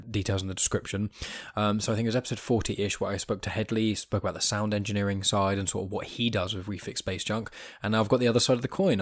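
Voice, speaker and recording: Fast-talking, low-voiced male podcast host. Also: no echo, studio quality. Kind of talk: casual chat.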